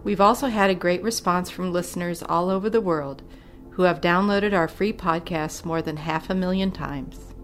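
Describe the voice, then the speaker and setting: Slightly low-voiced woman co-host over music. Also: fairly even intonation, moderate pace. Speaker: podcast co-host. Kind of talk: scripted podcast introduction.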